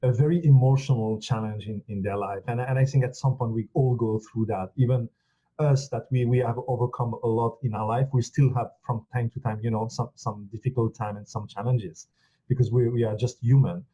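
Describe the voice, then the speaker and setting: A man from France with a French accent hosting an interview, speaking haltingly with frequent restarts and supportive asides. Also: medium pitch, fairly even intonation, dark tone, slightly rough, rough recording. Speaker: relationship coach, host.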